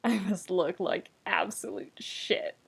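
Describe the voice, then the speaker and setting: Woman voicing a tired, wry young man, soft-spoken. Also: mid-range voice, takes it slowly, gravelly, breathy edge, exaggerated voice. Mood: tired, gentle.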